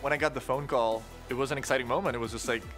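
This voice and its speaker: A man's voice: mid-range voice, fairly even intonation, rapid-fire, music in the background. A man in his thirties with a Czech accent, talking casually in a studio interview as a competitive player. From Czech Republic. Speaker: professional card game player.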